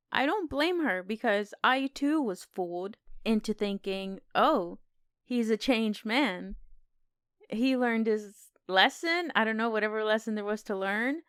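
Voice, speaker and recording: A woman's voice: on the high side, a little slow, a bit bright, clean tone, dry recording, studio quality. A woman co-hosting a podcast, discussing film in a casual, conversational way. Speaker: podcast co-host.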